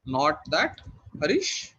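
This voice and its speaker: A man's voice: a little high, wildly swooping pitch, slow pace, bright, forceful tone, breathy edge, muffled recording. A man working as quiz master, reading formally worded questions to contestants and giving brief verdicts.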